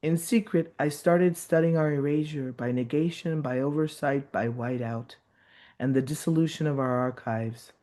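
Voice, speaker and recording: A man reading his poetry in a measured, incantatory way, as a guest on a video call. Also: fairly high pitch, dark tone.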